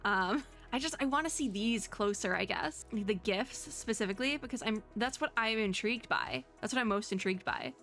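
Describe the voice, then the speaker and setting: A woman's voice: mid-range voice, moderate pace, few pauses, a bit bright. Young woman chatting over music. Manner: rambling, thinking aloud, slangy. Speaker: streamer reacting to game previews. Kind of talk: casual chatty reaction commentary. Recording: music bed under the voice. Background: background music.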